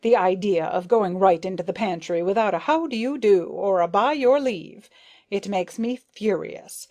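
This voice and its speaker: A woman doing a fussy, proud rose beetle. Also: mid-range voice. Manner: indulgent, proud, excitable. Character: fussy, good-natured male rose beetle, easily angered.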